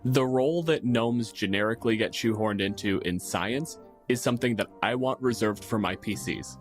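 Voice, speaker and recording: A man's voice: lowish voice, normal speed, faint music behind. A man co-hosting a podcast, chatting casually and bluntly with emphatic opinions and plenty of swearing. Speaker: podcast co-host. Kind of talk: casual opinionated tabletop gaming chat.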